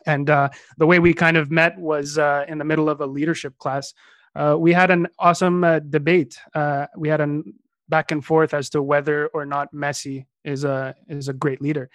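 A man's voice: fairly high pitch, little pitch movement, moderate pace, a bit bright, dry recording. A man co-hosting a casual sports podcast, talking with many hesitant filler sounds as he introduces a guest. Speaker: podcast co-host.

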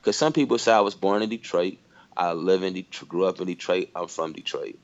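A man's voice: sits low, brisk, lo-fi sound. A man from Michigan in a casual conversation, thinking aloud with lots of "you know" and "like". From Michigan, USA. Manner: reflective, many fillers like "you know".

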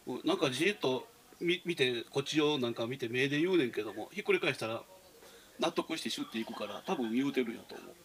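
A man's voice: mid-range voice, lively intonation, quick pace, fairly bright tone. A man with a Kansai accent chatting casually in a conversation. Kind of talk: casual chat.

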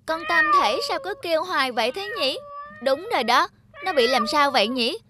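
A woman's voice: high voice, expressive, moderate pace, very noisy. A woman playing a stubborn young country girl with a childlike manner in a cartoon folk tale. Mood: puzzled, concerned. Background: prominent background music.

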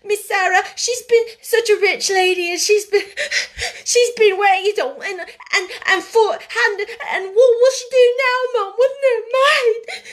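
Woman doing a sobbing scullery maid. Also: measured pace. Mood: tearful. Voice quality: high, quavering and sobbing. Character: young tearful cockney scullery maid.

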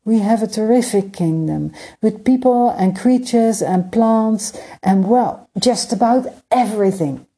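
A woman's voice: medium pitch, measured pace, few pauses, warm tone, breathy edge, voice pushed up. A woman voicing an eager, earnest young boy prince who pleads and stammers in a storybook reading. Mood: eager, pleading. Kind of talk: storybook read-aloud.

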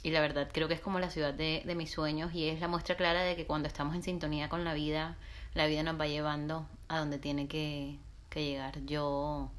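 A woman's voice: sits low, level tone, fairly quick. A woman from Colombia's Caribbean coast with its accent, speaking in a casual podcast chat, reflective and earnest, with frequent hesitations. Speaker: podcast co-host and guest telling her story.

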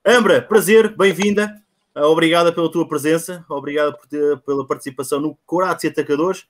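Man, hosts a casual online sports show, self-mocking, fairly high voice. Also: brisk. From Portugal. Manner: chatty and self-mocking, many fillers. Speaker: podcast host. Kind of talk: casual live-stream chat.

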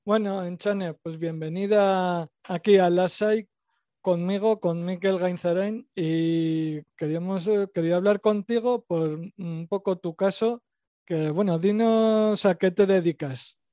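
A man's voice: sits high, warm tone, no echo, dull audio. Self-deprecating Basque Spanish man host. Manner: self-deprecating, rambling with pauses. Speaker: podcast host. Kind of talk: casual interview podcast.

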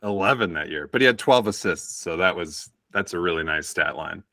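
Low-voiced man reciting stats. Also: animated, a little fast, sharp tone, no room sound, studio quality. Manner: reels off numbers, matter-of-fact. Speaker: stats-minded co-host. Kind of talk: casual sports chat.

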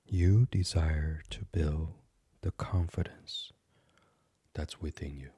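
A very low-voiced man leads a sleep meditation in an ASMR style. His voice is heavily breathy and husky, often close to a whisper. He speaks slowly and soothingly, pausing between short phrases. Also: fairly even intonation, no echo. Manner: soothing, hushed, gentle, with many pauses. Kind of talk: guided sleep meditation, soft ASMR reading.

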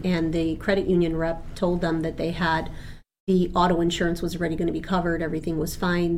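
Woman, first language Spanish, talkative, lively, lowish voice, soft hiss behind. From Argentina. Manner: lively, talkative, laughs easily. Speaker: insurance agent. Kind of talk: interview guest, chatty and upbeat.

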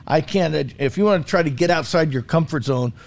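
A man's voice: a little high, a little fast, creaky. Male food commentator for casual radio chat, self-deprecating and jokey, repeats phrases for emphasis. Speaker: food commentator on a talk show.

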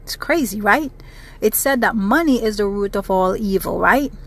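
A woman's voice: mid-range voice, expressive, normal speed, fairly bright tone. A woman from the Caribbean hosting her own casual podcast, chatty and earnest, repeating phrases for emphasis. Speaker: podcast host. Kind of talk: casual solo podcast monologue. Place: South Florida.

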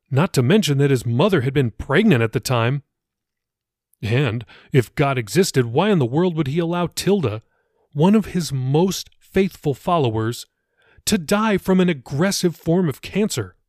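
A man's voice: a little high, animated, a little slow, no echo, clean studio sound. A man reading his own fiction audiobook as a scripted narration.

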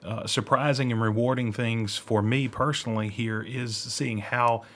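A man's voice: medium pitch, steady tone, measured pace. A man co-hosting a religious radio discussion, speaking in a reflective and somewhat hesitant way. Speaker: radio co-host.

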